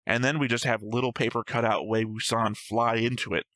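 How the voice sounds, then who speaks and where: A man's voice: medium pitch, little pitch movement, fairly quick, a bit bright, dry recording. A man co-hosting a reaction show, talking through his notes with a dry, analytical manner. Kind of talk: casual episode discussion reading own notes.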